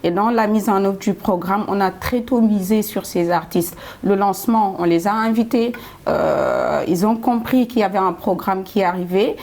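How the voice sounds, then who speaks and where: Halting woman, medium pitch. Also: vocal fry, darkish tone. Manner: halting, repeats words. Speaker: development programme representative, studio guest. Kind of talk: spontaneous interview answers. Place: TV studio.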